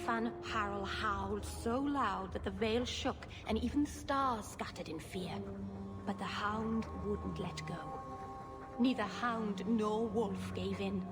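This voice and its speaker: Actress as gentle young elf, video game, innocent, earnest storyteller, long hall reverb, music underneath. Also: female voice, medium pitch, natural voice.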